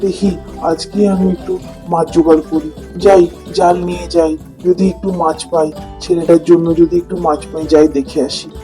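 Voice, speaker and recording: A man's voice: on the high side, level tone, moderate pace, lightly acted. A man voicing a poor, kind-hearted village fisherman and father in a cartoon, with a plaintive manner. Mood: sorrowful. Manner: plaintive, lamenting. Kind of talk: cartoon dubbing. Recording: music under the voice.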